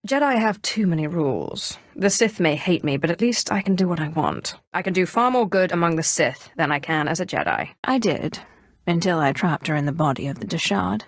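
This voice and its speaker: A woman playing a proud, calculating Sith sorceress in a video game, speaking in a cool, measured, sardonic way. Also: fairly low pitch, no echo, clean studio sound. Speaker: video game player character. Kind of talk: scripted video game dialogue acting.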